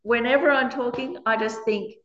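A woman with a loud voice, giving a frank Buddhist dharma talk to an audience and laughing often between points. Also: fairly high pitch, fairly even intonation, normal speed. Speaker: Buddhist teacher. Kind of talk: dharma talk answering questions. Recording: roomy, slightly dull recording.

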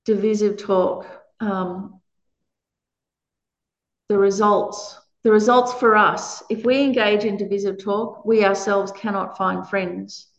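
A woman with a loud voice, giving a frank Buddhist dharma talk to an audience and laughing often between points. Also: mid-range voice, fairly even intonation, slow. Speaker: Buddhist teacher. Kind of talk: dharma talk answering questions. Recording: roomy, slightly dull recording.

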